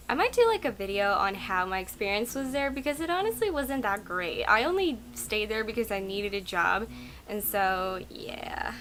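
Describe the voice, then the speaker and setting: A woman's voice: mid-range voice, bright, forceful tone. A young adult woman vlogging casually from home. She is a native Russian speaker who rambles through her answers with plenty of fillers. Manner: rambling, chatty, lots of "like" and "um". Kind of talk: casual chat answering tag questions. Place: at home at night.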